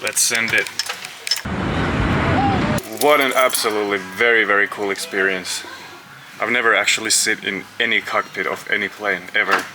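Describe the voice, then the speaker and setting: A man with a Finnish accent and a fairly low voice talks casually to his vlog audience. He is blunt and informal and swears freely. There is clear ambient and vehicle noise behind him. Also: steady tone, normal speed, long pauses. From Finland. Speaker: vlogger. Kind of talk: casual chat to camera.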